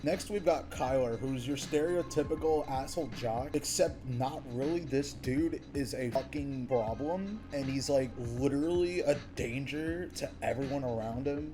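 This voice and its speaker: A man giving casual, rambling video commentary on game characters, full of slang and swearing. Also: mid-range voice. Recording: music behind the voice. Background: music.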